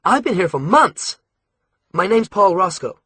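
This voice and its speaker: A man voicing a bitter teenage boy prisoner with an American accent, speaking forcefully. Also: high-pitched, lively intonation, unhurried, slightly rough, no echo, pitched above the performer's own voice, full character voice. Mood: angry.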